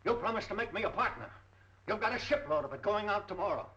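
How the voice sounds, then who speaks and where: An actor playing an agitated, aggrieved inventor in an insistent, excitable manner, in 1930s film style on an old muffled soundtrack. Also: male voice, high voice, fast. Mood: angry, demanding. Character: agitated, aggrieved inventor demanding his formula back.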